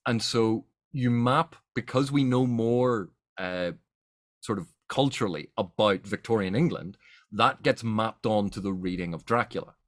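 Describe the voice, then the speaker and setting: A man's voice: on the low side, a little slow, no echo, clean studio sound. A man from Northern Ireland with a Northern Irish accent, hosting a book discussion in an explanatory, emphatic way. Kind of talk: animated literary discussion.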